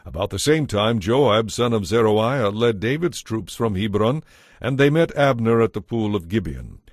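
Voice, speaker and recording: A man's voice: fairly low pitch, expressive, normal speed, no echo, studio quality. A man narrating a dramatized audio Bible as a scripted storyteller.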